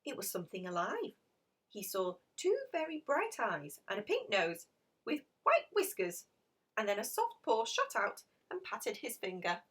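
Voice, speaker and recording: A woman reading a children's picture story aloud in an expressive, dramatic storytelling manner. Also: medium pitch, unhurried, husky, recorded from a distance. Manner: animated, dramatic emphasis with hushed passages. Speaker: storybook reader.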